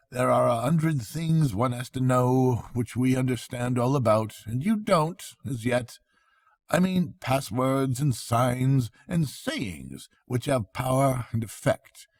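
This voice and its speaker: Kindly brave river rat, raised pitch, breathy edge, fatherly; male reader puts it on. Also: darkish tone, dry recording, studio quality. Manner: reassuring, fatherly, slightly higher than the narration.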